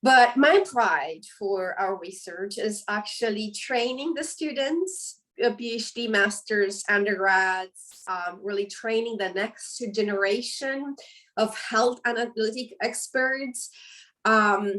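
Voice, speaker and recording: A Romanian-accented woman in her forties speaks haltingly as a webinar guest, often pausing on ums and correcting herself mid-phrase. She sounds set back from the microphone, as if heard over a video call. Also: medium pitch, a bit bright, far from the mic, poor audio. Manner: hesitant, many ums and restarts. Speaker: professor and guest. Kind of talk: webinar interview answer.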